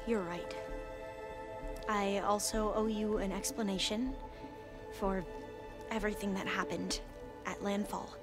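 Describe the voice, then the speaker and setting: An actress playing an excitable young woman scholar in a video game, earnest and gushing, rambling as she goes. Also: mid-range voice, natural voice. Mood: apologetic. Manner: gushing, halting and self-correcting when flustered. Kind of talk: video game dialogue acting. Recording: loud music behind the voice. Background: music.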